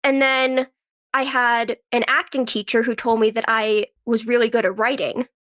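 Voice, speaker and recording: A woman's voice: fairly high pitch, little pitch movement, moderate pace, a bit bright. A woman in her twenties with a cold, chatting casually in an interview and peppering her youthful, conversational talk with "like". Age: 20s. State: has a cold. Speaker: guest, actress and writer.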